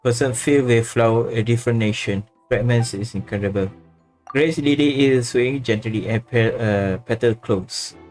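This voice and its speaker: A man streaming a game, casually reacting and reading along in a halting way with short interjections. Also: mid-range voice, a little mellow. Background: faint game music.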